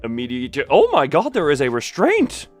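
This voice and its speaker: Guy streaming a game, chatting with chat, jokey n animated, bit of music behind him. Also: fairly high pitch, moderate pace. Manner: playful, jokey, talking back to chat. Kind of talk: casual rambling chat with stream viewers while gaming.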